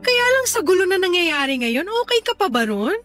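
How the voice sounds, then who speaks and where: A woman's voice: very high-pitched, sing-song, brisk, a bit bright, faint music behind, full character voice. A Filipino actress playing an insistent, pleading, scheming girlfriend in an emotional Tagalog radio drama. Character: pleading, scheming first girlfriend in a polyamorous household.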